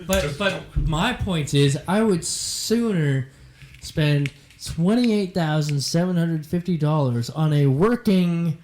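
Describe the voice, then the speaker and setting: Male co-host, slightly high voice, joking, emphatic banter. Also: takes it slowly, darkish tone, a little hiss or hum. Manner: joking, emphatic, repeats words to hold the floor. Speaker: podcast co-host. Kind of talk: casual banter.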